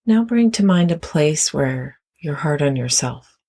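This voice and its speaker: Slow, husky meditation guide with creaky voice. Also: medium pitch, lively intonation. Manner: gentle, unhurried instruction with long pauses.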